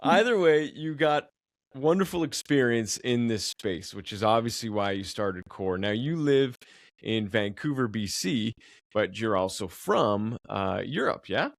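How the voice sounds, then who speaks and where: A man's voice: lowish voice, expressive, a little slow, creaky, no echo, studio quality. A man hosting a podcast, upbeat and enthusiastic, often repeating words as he goes. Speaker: podcast host. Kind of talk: podcast interview.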